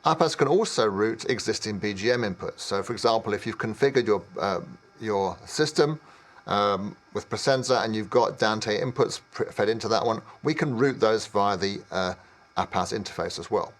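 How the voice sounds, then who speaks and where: Middle-aged guy walking through a technical setup, lowish voice, measured and explanatory. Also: lively intonation. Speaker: technical trainer. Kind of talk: instructional product presentation.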